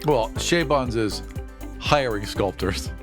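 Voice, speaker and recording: Guy bantering in an rpg podcast, super expressive, jokey, faint music under him. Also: medium pitch, slow pace. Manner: jokey, animated, builds on others' bits. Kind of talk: casual improvised tabletop comedy chat. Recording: faint background music bed.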